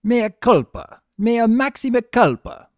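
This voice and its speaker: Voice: mid-range voice, very animated, unhurried, no room sound, pitched above the performer's own voice. Reader putting on a sly, theatrical chaos dragon, wildly swooping. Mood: melodramatic wailing. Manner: dry, sardonic, mock-dramatic. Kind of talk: fan fiction dramatic reading.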